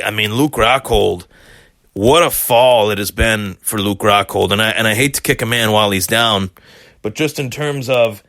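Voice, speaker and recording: A man hosting a solo sports podcast, hoarse and a bit hungover, rambling through fight reactions with many fillers and restarts. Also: medium pitch, pushed and bright, dry recording. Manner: rambling, repeats himself, frequent fillers. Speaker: podcast host.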